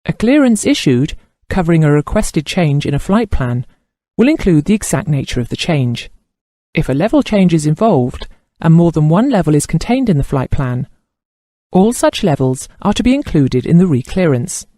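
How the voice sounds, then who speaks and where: Mellow, dry-sounding male instructional narrator. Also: a little high, very animated, dry recording. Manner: formal, measured reading.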